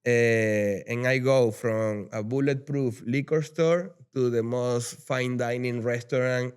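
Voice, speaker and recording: Venezuelan man in his own talk, Spanish-native accent, smooth and clear, lively and rambling. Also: medium pitch, slow. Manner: animated, restarts and repeats words, tags sentences with "though". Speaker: guest, rum brand ambassador. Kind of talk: casual podcast interview. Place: lives in Miami.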